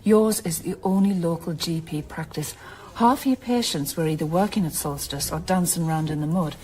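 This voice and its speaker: A woman's voice: on the low side, normal speed, a little mellow, lightly acted. A woman playing a persistent, inquisitive journalist in a naturalistic radio drama, asking probing questions in a conversational way.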